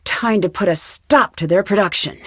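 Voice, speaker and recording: A woman playing a curious, determined young amateur detective in an adventure game, thinking aloud in clearly enunciated remarks. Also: mid-range voice, moderate pace, no room sound, clean studio sound, close to an everyday voice.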